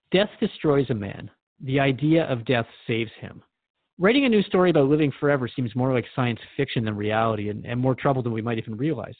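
A man's voice: medium pitch, no room sound, phone-quality sound. A man hosting a scripted radio drama interview, asking frank, questioning things of his guest.

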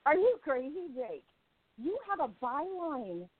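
Woman playing independent young reporter, earnest, slightly high, tinny sound. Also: very animated, unhurried, no room sound. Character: young modern female journalist, spirited and independent. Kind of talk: amateur radio play acting.

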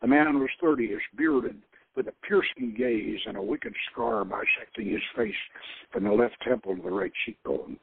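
A man's voice: mid-range voice, animated, normal speed, creaky, husky, no echo. A man reading a novel aloud as dramatic narration, occasionally stumbling and repeating words. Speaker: host reading his own novel. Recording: thin phone-like radio line.

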